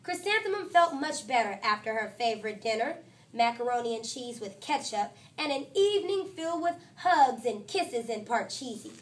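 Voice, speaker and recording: A woman teacher reading a picture book aloud to her class, with lively, expressive storytelling. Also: normal speed, far from the mic. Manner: expressive storytelling, voices quotes higher and louder.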